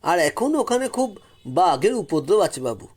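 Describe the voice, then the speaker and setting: Storytelling role: deferential rustic man in his fifties played by a male narrator, Hindi-tinged accent, high, loud, effusive. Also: big pitch swings, measured pace, heavily acted. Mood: wary. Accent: non-Bengali, Hindi-tinged Bengali. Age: 50s. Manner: obsequious, effusive, repetitive.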